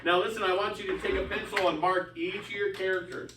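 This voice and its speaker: A man's voice: high-pitched, fairly even intonation, fast talker. A man teaching a small reading group informally, patiently coaxing and giving instructions in a classroom. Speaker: teacher leading a reading lesson. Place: echoey classroom with children. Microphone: distant microphone. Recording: echoey, distant, noisy classroom recording.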